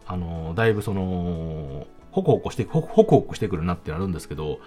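A man with a Kansai accent chats casually on his own as a podcast host. His lowish voice swings very widely up and down as he talks in a chatty, emphatic way. Music plays clearly behind him. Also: big pitch swings, fast talker, mellow. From Kansai, Japan. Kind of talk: casual solo chat. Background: background music.

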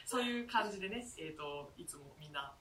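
Lively woman hosting, roomy and distant. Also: sits low, brisk, crowd noise. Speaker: host, actor in a theatre company. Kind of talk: casual round-table chat. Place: echoey room, voices away from the mic. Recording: roomy, distant mic, noisy background.

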